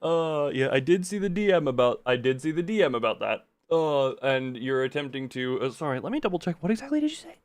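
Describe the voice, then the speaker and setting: Animated, rambling man streaming a game. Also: fairly high pitch, brisk, few pauses, no room sound. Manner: rambling, easily sidetracked, stumbles over words. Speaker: live streamer. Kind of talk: casual gaming livestream chat, reading game notes aloud.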